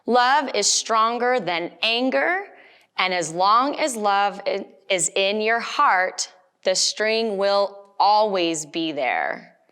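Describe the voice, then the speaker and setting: A gentle storybook mother, as a woman reader puts her on, patient and soothing. She takes her words very slowly and deliberately, reassuring a child. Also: medium pitch, lively intonation, clear, resonant tone.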